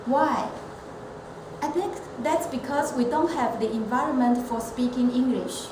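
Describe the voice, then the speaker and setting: A woman from Taiwan giving a prepared club speech. She speaks in a measured, earnest way and sometimes restarts a word. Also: sits high. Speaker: elementary school teacher and club member. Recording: echoey room with steady background noise.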